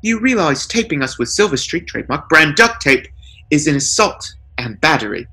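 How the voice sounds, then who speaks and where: A man voicing pompous, pedantic corporate lawyers in a comic short story, speaking formal, deliberate legalese. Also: on the high side, big pitch swings, pushed and bright, slightly rough, voice pushed up, full character voice. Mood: smugly formal.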